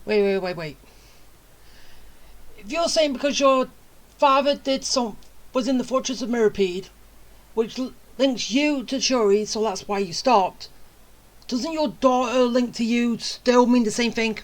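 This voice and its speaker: A woman's voice: a little high, a little slow, pauses often, poor audio. A woman giving casual, stumbling reaction commentary over a video game. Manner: informal, stumbling. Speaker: gamer commentating over gameplay.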